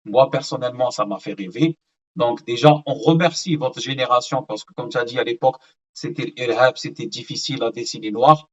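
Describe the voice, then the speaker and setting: A man's voice: medium pitch, a little fast, lo-fi sound. A man in his twenties hosting an interview, thanking his guest in an informal, grateful way. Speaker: interviewer, host. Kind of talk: casual interview.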